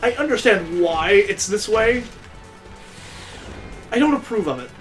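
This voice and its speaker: Man, slightly high voice, sarcastic gamer commentary, casual delivery, game music behind. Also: lively intonation, a little slow, a bit bright. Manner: wry, sarcastic joking. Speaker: gaming video host playing the game. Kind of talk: casual let's play commentary. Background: video game music.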